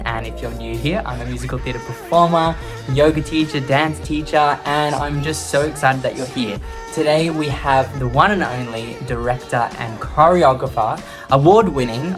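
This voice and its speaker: A man hosting a podcast in a studio, upbeat and encouraging as he introduces and interviews his guest. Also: medium pitch. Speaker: podcast host.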